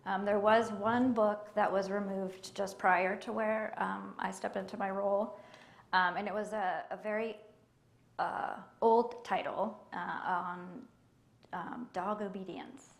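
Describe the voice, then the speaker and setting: Soft-spoken woman on a panel. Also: medium pitch, fairly even intonation, vocal fry. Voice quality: quiet voice. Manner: soft-spoken and measured, with many ums. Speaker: library collection manager on a panel. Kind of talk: panel discussion answers. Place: live library event.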